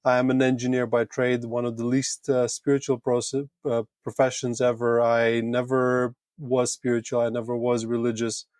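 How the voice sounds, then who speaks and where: A man with a Latvian accent, a podcast guest telling his personal story casually in halting, thoughtful phrases with repeated words. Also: mid-range voice, level tone, darkish tone, dry recording, studio quality. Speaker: podcast guest, retreat owner.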